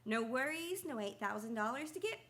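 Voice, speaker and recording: A woman's voice: a little high, animated, a little fast, a bit bright. A woman playing a cheerful, naive apprentice guardian angel in a radio play, chirpy and exclaiming. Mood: excited. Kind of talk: stage-style radio play acting.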